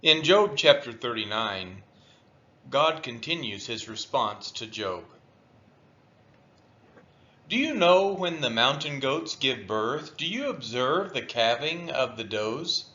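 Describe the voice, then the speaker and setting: A man reading the Bible aloud in a devotional style, declaiming each verse deliberately and expressively. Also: mid-range voice, expressive, sharp tone, highs cut off. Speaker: scripture reader.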